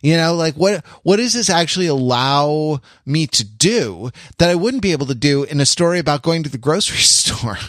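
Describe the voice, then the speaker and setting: A man co-hosting a podcast in casual intellectual discussion, wordy and halting, often rephrasing what he has just said. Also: fairly high pitch, expressive, fairly bright tone, dry recording, clean studio sound. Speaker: podcast co-host.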